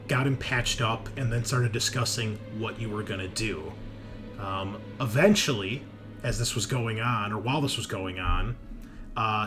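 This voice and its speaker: A man's voice: mid-range voice, fairly bright tone. A man speaking as game master, recapping a tabletop roleplaying session in informal storytelling with dramatic emphasis. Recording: music bed under the voice. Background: background music.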